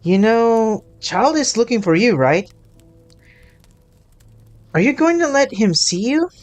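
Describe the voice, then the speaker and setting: A woman's voice: a little high, animated, slow, darkish tone, faint music behind. A woman streaming a game, commenting on the story and reading dialogue aloud with dramatic expression. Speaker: gaming streamer.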